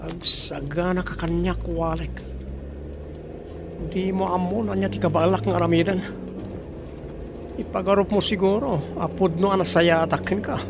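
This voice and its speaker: A man's voice: high-pitched, fairly even intonation, moderate pace, pauses often, noisy background. A man playing a resentful, falsely friendly taxi driver with secret plans in an Ilocano radio drama. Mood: scheming, menacing.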